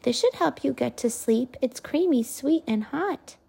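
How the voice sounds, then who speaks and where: A woman voicing a brave little girl princess in a storybook, high and sweet. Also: expressive, measured pace, gravelly, dark tone, husky, exaggerated voice. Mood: earnest.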